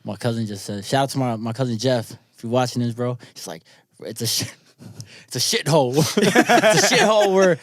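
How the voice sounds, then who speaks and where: A young man in casual podcast talk, loose and slangy. His voice sits in a middle pitch and sounds close and dry, with no room around it. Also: expressive, normal speed, no echo. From born in New Zealand, grew up in California. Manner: loose, slangy, says "bro" and "man" a lot. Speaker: podcast guest. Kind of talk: casual chat.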